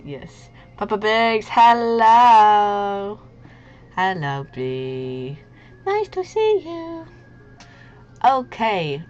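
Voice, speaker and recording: A woman's voice: mid-range voice, darkish tone. A young woman hosting a casual livestream, chatting in a goofy, giggly, slangy way. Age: young adult. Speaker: livestream host, artist. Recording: muffled lo-fi stream audio with music. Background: background music.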